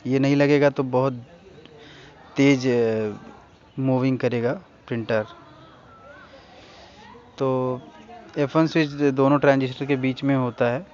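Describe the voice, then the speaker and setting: A man's voice: mid-range voice, steady tone, slow pace, pauses often, a little mellow. An Indian man giving a casual hands-on repair tutorial, speaking informally and instructing viewers directly. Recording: dull lo-fi recording with faint noise.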